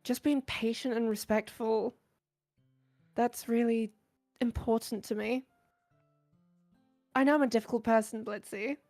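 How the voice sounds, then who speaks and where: A woman voicing a shy, bookish, insecure teenage girl in a visual novel, hesitant, soft-spoken and earnest. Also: fairly high pitch, fairly even intonation, unhurried, long pauses, faint music behind. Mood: earnest, vulnerable. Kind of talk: visual novel character voice acting.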